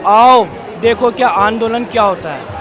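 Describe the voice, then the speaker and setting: A man's voice: high voice, lively intonation, normal speed. Fiery young male student protester. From Aligarh, India. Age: young adult. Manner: fiery, rallying and indignant. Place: outdoor protest. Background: crowd.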